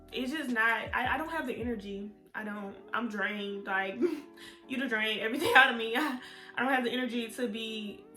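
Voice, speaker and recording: A woman's voice: on the high side, bright, forceful tone. A woman, chatting candidly to her viewers in a storytime vlog. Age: 20s. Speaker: vlogger. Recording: echoey room with faint music.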